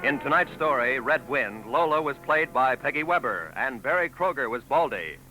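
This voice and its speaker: A male radio announcer from the 1940s reads credits and sponsor messages. His tone is bright and forceful, with a formal, polished delivery. Faint music sits under the voice on an old broadcast recording. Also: mid-range voice, animated, normal speed, poor audio.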